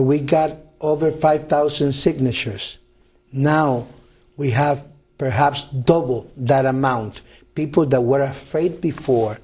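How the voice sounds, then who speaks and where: A man's voice: medium pitch, darkish tone, breathy edge. A man who is a reverend and organizer, speaking earnestly in a studio interview and repeating words as he goes. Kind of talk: studio talk show interview.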